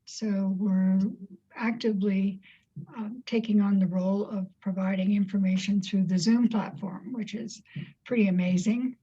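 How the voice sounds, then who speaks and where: A woman's voice: mid-range voice, steady tone, unhurried, mellow, husky, muffled recording. A woman hosting a conversational interview as its interviewer. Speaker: interview host.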